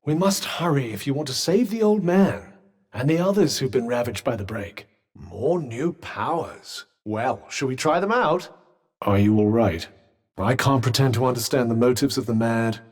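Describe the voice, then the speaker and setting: Cuff, a sardonic talking bracelet. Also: male voice, medium pitch, darkish tone, husky. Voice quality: formal and dry. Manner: urbane, teasing, measured. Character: wry, sardonic male talking magic bracelet. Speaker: companion character. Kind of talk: video game voice lines.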